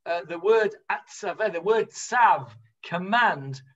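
A man's voice: high-pitched, animated, slow pace, a bit bright. An older man leading an online Talmud study class, explaining with many fillers and restarts. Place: home room, on a video call. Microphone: computer mic on a video call. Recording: dull lo-fi video call audio.

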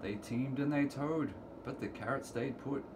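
A man's voice: medium pitch, measured pace. Man reading a picture book, noisy recording. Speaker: children's story reader. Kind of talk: read-aloud picture book storytelling for preschoolers. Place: indoors in a room at home. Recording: clearly noisy home recording, room ambience.

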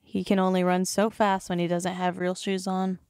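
A woman's voice: fairly low pitch, flat delivery, a little mellow, clear, resonant tone. Giggly teasing young woman. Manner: giggly, teasing, quick-witted. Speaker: podcast host. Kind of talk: casual comedic chat. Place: small studio room and outdoors in woods. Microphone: desk mic on boom arm, handheld mic outdoors.

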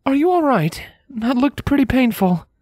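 A woman voicing a composed, protective female pony traveler in a storybook reading, polite but firm and measured. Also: high-pitched, big pitch swings, mellow, no room sound, clean studio sound, pitched above the performer's own voice. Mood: caring, assured.

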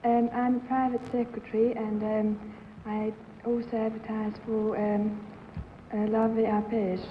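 A woman contestant answering softly and hesitantly on a 1950s game show, in thin old broadcast audio. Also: fairly high pitch, level tone, measured pace, long reverb tail, narrow, tinny audio, background noise.

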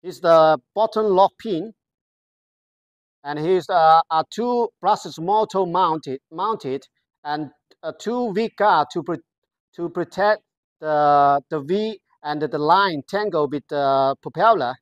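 A presenter gives a product walkthrough in careful, halting, non-native English, pausing often to find words. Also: medium pitch, no room sound, dull audio. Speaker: product demonstrator.

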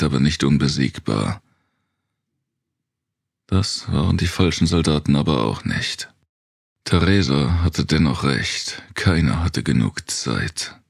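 This is a man with an exceptionally deep voice, full of gravel and rasp. He narrates dark fiction in a measured, brooding way, as if telling his own story. The sound is dry, with no room around it. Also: no room sound. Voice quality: gravelly, husky. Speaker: audiobook narrator. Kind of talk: dramatic first-person audiobook narration of a dark dystopian thriller.

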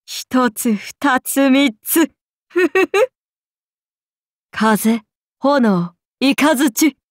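A woman's voice: sits high, expressive, very slow, dry recording, studio quality, exaggerated voice. A woman voicing a haughty, amused and predatory ancient serpent-god oni in a game, speaking in archaic, imperious, languid phrases. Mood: menacing, gleeful. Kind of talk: Japanese game character voice lines.

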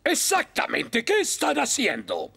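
Stern high-pitched, creaky cartoon station officer. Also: male voice, wildly swooping pitch, measured pace, sharp tone, exaggerated voice. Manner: barking, authoritative. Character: stern, commanding male fire station officer. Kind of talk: animated cartoon dubbing.

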